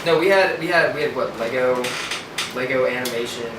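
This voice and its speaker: A man's voice: mid-range voice, fairly quick. A teenage boy co-hosting a podcast with casual, joking banter. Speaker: podcast co-host. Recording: echoey, distant mic, very noisy.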